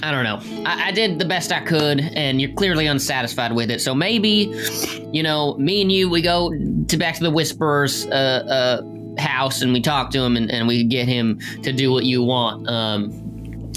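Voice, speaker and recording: A man's voice: mid-range voice, normal speed, over music, lightly acted. Man playing a hapless, stammering small-time crook. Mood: flustered, apologetic. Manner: stammering, self-justifying, rambling.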